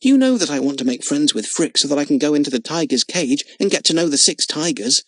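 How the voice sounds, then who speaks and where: A man's voice: a little high, expressive, quick pace, a bit bright, no echo. A man voicing an eager, kind-hearted young circus boy in a storybook reading. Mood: pleading. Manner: earnest and pleading.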